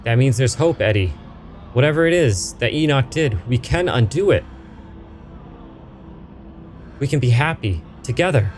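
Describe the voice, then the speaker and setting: A man's voice: medium pitch, expressive, normal speed, faint music behind, voice pushed up, natural voice. A man voicing a wistful young woman in a game flashback, earnest and full of yearning. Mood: hopeful pleading.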